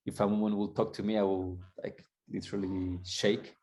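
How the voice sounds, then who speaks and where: Low gravelly-voiced man from Colombia. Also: little pitch movement, darkish tone, slight background noise. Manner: reflective, halting with restarts. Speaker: guest coach. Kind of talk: candid storytelling.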